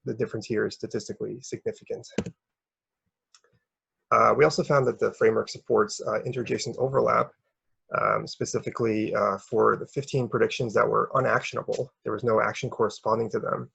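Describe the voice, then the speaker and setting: A man presenting academic research as a researcher, explaining step by step in a hesitant, halting way with a gravelly, husky voice. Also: lowish voice, a bit bright, no echo, lo-fi sound.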